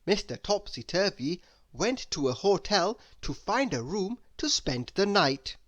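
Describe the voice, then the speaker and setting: A man reading a children's story aloud in an expressive, deliberate way. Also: sits high. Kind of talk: expressive scripted narration for children.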